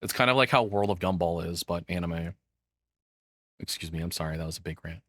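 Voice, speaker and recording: A man's voice: deep voice, brisk, fairly bright tone, no room sound, studio quality. A man giving casual, opinionated reaction commentary as a streamer, rambling bluntly and swearing freely.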